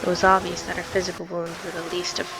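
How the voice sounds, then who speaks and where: A man's voice: high voice, monotone, fast, loud music behind the voice. A man reading a visual novel aloud as a let's play host. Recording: loud rain-like background noise.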